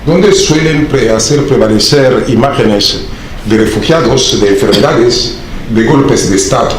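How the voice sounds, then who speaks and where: A man from the Democratic Republic of the Congo, a native Swahili speaker, giving a formal academic speech in a conference hall, measured and full of hesitations. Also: medium pitch, a little hiss or hum. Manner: measured lecturing with frequent hesitations. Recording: very echoey hall.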